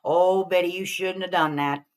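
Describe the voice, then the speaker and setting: A woman's voice: lowish voice, steady tone, normal speed. A woman giving blunt, mocking reaction commentary, scolding the person she is responding to.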